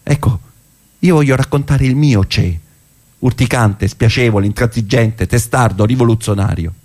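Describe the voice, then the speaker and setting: A man performing a theatrical narrative monologue in a conversational, ironic manner with rhetorical pauses. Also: medium pitch, a little mellow. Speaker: stage actor and monologist.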